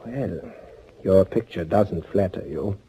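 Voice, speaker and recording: An actor playing a bitter young underground avenger in a 1940s radio thriller, sardonic and deliberate, with a husky voice. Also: male voice, sits low, mellow, slight background noise. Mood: sardonic, guarded. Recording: muffled 1940s radio recording.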